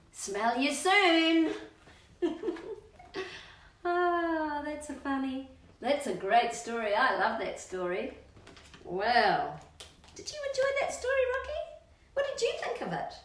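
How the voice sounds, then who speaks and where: A woman's voice: high voice, big pitch swings, takes it slowly, long pauses. A woman reading aloud to children in a playful, sing-song storybook style, drawing out words for emphasis and chatting to her pet. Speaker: children's storytime reader and channel host. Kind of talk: expressive storybook read-aloud with asides to a pet dog. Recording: roomy echo, distant microphone.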